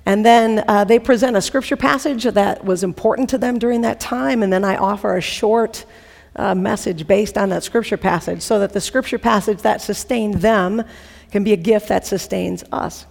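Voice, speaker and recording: A hoarse host opening a chapel service, chatty and playful with plenty of fillers. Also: mid-range voice, moderate pace. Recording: large hall with long reverb.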